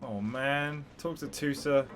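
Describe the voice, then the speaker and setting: Expressive gamer doing casual reaction commentary. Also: medium pitch, slow. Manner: expressive, informal. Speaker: video game streamer. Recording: faint game music behind commentary. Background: game music.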